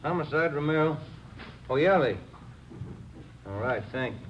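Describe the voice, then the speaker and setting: A man playing a brisk police detective's partner in a radio drama, with short, dry remarks. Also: a little high, expressive. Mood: dry, businesslike. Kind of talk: 1940s-50s radio drama acting. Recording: dull, faintly noisy old radio recording.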